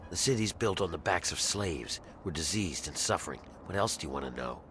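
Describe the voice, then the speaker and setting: A low-pitched, gruff game character, an embittered slave plotting revolt. He talks in a conspiratorial, matter-of-fact way, with clear ambient noise behind him. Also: animated, fairly quick, a bit bright. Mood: grim, matter-of-fact. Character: grim embittered rebel slave man scheming for freedom. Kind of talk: video game character dialogue.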